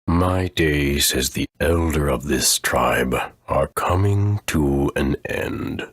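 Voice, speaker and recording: A man playing an aged, solemn creature elder in a video game, speaking slowly and formally with a husky rumble. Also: no echo, clean studio sound, heavily acted. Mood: weary, grave. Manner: slow, grave, formal.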